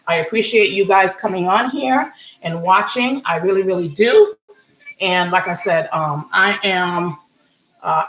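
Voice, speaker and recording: A woman in her forties from Senegal hosting a casual live cooking stream from her home kitchen, chatty and rambling, often asking "okay?", with a slightly hoarse voice. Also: lowish voice, a bit bright, reverberant, poor audio. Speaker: home cook, channel host. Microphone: computer or phone camera mic.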